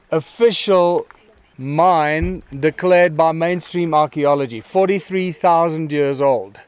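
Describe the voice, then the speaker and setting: Man, slightly high clear voice, tour leader, informal introduction, deliberate emphatic phrasing. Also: no echo. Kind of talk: informal on-site introduction to a group.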